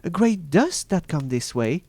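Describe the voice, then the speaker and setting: A man puts on a young woman keeping watch in a storybook tale. The voice is raised high, anxious and calling out. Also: very animated, unhurried, vocal fry, a little mellow, full character voice. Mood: sorrowful. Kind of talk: dramatic fairy-tale storybook reading.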